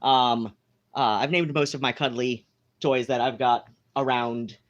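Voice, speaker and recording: A home live-streamer talks slowly and unhurriedly, wandering from thought to thought. The voice sits at a middling pitch, with plenty of ums and self-corrections along the way. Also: steady tone, muffled recording, a little hiss or hum. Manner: meandering, many fillers and restarts. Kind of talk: casual rambling live-stream chat.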